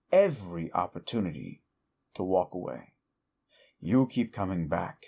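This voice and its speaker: A man voicing a bitter, mocking lawful-evil male demon in an audio roleplay. He moves between hushed, menacing warnings and exasperated rants aimed at the listener. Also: deep voice, vocal fry, full character voice.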